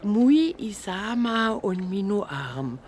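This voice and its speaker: An actress playing a brisk, eager choir singer with a Swabian accent in a radio drama. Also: female voice, mid-range voice, sing-song, a little mellow. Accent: Swabian German. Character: brisk, eager female choir-singing friend of an inspector. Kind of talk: naturalistic radio drama acting.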